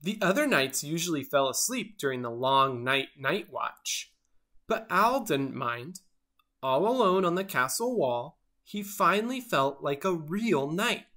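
Bright, animated children's storyteller. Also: medium pitch, a little slow. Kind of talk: expressive children's picture-book read-aloud.

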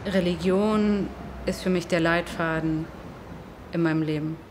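Low, flat-voiced woman in an interview. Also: measured pace, background noise. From Germany. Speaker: interviewee, rabbinical student. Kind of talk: documentary interview. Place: indoor room with plants. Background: soft music.

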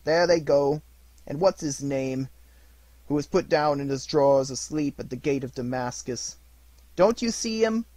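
A man's voice: fairly high pitch, a little mellow, no room sound, voice pushed up. A man voicing an old miser who exclaims between laughing and crying, in a dry recording.

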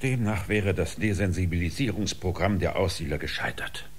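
An actor playing a cold, stern spaceship commander in a science fiction radio play, hard and dismissive. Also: male voice, deep voice, normal speed, husky. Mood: irritated. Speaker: actor in an audio drama.

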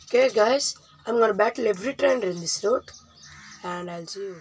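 A woman hosting a let's play with casual, informal gameplay commentary, halting and often repeating phrases. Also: mid-range voice, slight background noise.

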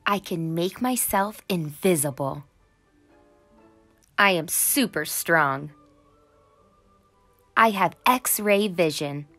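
A woman's voice: lowish voice, fairly bright tone, pitched below the performer's own voice, exaggerated voice. A woman voicing an eager, brave young boy superhero in training, earnest and dramatic, in a storybook. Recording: music under the voice.

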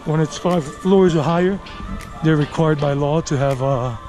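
Guy in his late 40s doing a walking vlog, kinda high voice, rambly, music playing behind him. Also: moderate pace, darkish tone. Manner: chatty, rambling, thinking aloud. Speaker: travel vlogger and walking tour host. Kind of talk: casual walking commentary to viewers. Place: outdoors, walking a leafy urban residential street.

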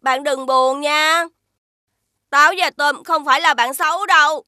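A woman voicing a small, kind and curious alien creature in a children's cartoon, gentle and earnest. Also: high voice, steady tone, unhurried, no echo, studio quality. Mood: consoling.

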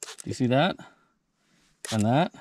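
A man with a mellow, relaxed voice talks viewers through his rock finds, eager and chatty. He is outdoors on an action camera mic, with faint clicks and rustle around him. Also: medium pitch, slow, slight background noise. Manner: enthusiastic, talks to viewers while handling rocks. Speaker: rockhounding vlogger. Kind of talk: casual show-and-tell narration. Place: outdoors at a mine site and a backyard.

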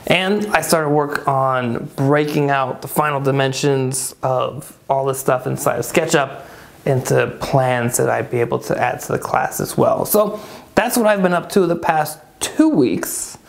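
A man's voice: medium pitch, a little slow, creaky. A man in his thirties hosting a casual woodworking shop update, upbeat and chatty, in a furnished room. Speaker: woodworking channel host.

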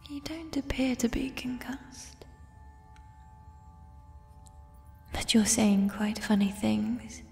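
A woman voicing a gentle, shy giant female troll in a soft, breathy ASMR whisper, with tender, formal phrasing. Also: on the high side. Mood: gentle, bemused. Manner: slow, formal old-fashioned phrasing, tender. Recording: long reverb, music behind. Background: fantasy ambience music.

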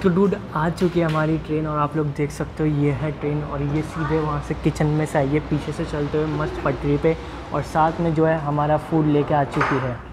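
Young man, slightly high voice, casual vlog delivery, English words mixed in, noisy restaurant background. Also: little pitch movement, normal speed. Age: young adult. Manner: informal, repeats filler phrases, mixes in English words. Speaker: food vlogger, host. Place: large, mostly empty restaurant. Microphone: handheld camera at arm's length. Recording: clear background noise, restaurant ambience.

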